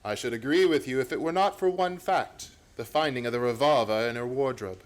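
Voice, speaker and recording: A man playing a confident, analytical gentleman detective in a radio play, reasoning aloud in an emphatic, theatrical way. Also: mid-range voice, fairly quick, close to an everyday voice. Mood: assured, probing.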